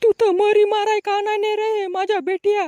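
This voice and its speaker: Piercingly high nagging old villager. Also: female voice, flat delivery, normal speed, warm tone, no echo. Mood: nagging suspicion. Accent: rural Marathi. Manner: nagging, scolding. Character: suspicious, nagging elderly village woman in a comic cartoon. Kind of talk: comic cartoon dialogue.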